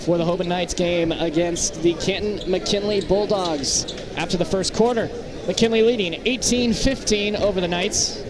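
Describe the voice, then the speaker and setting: A man doing live play-by-play commentary of a basketball game from a high school gym. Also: moderate pace. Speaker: play-by-play announcer. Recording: loud crowd and gym noise. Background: basketball crowd, bouncing balls.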